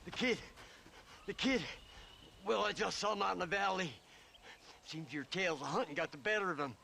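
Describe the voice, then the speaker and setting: An actor playing a lazy, wily old ranch hand in a western game, grumbling in a folksy, creaky American drawl. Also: male voice, sits high, normal speed, sharp tone, a little hiss or hum, heavily acted. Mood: anxious. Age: older. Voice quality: creaky, gravelly. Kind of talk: western video game voice acting.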